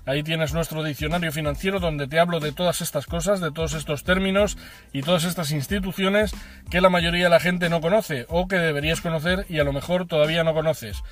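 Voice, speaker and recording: A chatty male host explains finance straight to the viewer, his voice sitting a little high. He talks freely, filling pauses and correcting himself on the go, with a dry, ironic touch. Soft music plays quietly behind him. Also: fairly even intonation. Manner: chatty, frequent fillers and self-corrections, ironic asides. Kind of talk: informal explainer to camera.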